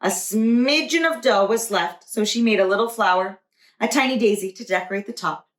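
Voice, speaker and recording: A woman's voice: mid-range voice, normal speed. A woman, a preschool teacher, reading a children's storybook aloud. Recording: echoey room.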